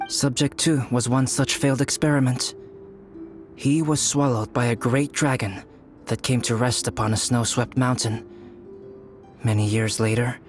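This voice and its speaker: A man voicing a composed young male alchemist in a video game, calm and measured with a dry, deadpan humor. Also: medium pitch, close to an everyday voice. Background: game music.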